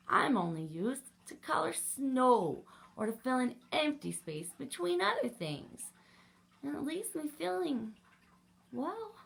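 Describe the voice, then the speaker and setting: Lady doing a lonely little storybook crayon, wistful, pitched up high, kinda slow. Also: vocal fry, fairly bright tone, heavily acted. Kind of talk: storybook read-aloud for children.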